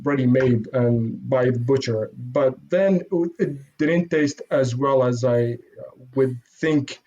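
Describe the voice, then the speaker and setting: A man from Iraq, a modest interview guest who talks haltingly with many restarts. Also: mid-range voice, steady tone, warm tone. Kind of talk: casual interview. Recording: dull lo-fi sound.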